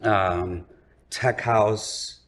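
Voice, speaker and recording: A man in his forties, a DJ guest, chatting casually in an easygoing, relaxed way. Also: lowish voice, drawn-out words. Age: 40s. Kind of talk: casual chat.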